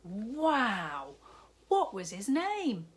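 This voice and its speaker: A woman voicing a big, jolly, kindly male shopkeeper in a storybook reading, warm and fatherly. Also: medium pitch, wildly swooping pitch, extremely slow, pitched above the performer's own voice, exaggerated voice.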